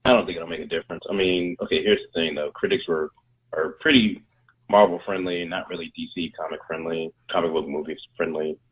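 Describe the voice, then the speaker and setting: A man's voice: deep voice, fairly even intonation, fairly quick, slightly rough. A man co-hosting a casual movie podcast, blunt and sarcastic in his opinions. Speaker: podcast co-host. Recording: tinny phone-like line.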